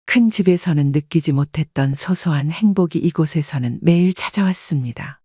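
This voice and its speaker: A woman calmly narrating a first-person life story. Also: sits low, moderate pace, husky, dry recording, studio quality.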